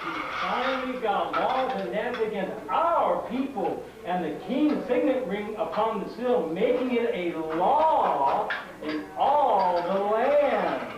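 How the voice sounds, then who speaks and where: A man's voice: sits high, animated, slow, music in the background. A man playing an anxious, earnest uncle in a puppet show, pleading in a dramatic, repetitive way. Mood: alarmed. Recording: echoey, distant, noisy live stage recording.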